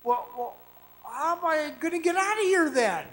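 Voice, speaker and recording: An actor playing an anxious, halting miner trapped underground in a suspense radio drama. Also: male voice, very high voice, expressive, fairly bright tone. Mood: nervous, bewildered. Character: frightened trapped miner, jittery, recounting his ordeal. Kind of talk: first-person suspense radio drama acting. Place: performing arts center stage.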